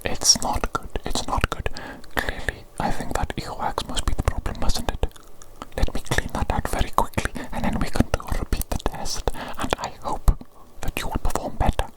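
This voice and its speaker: A performer voicing an evil doctor in a whispered ASMR medical roleplay, with breathy, formal, repetitive and deliberate phrasing. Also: lightly acted, poor audio. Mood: fussy, coaxing. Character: evil doctor, doting on creature patient, gleefully vengeful.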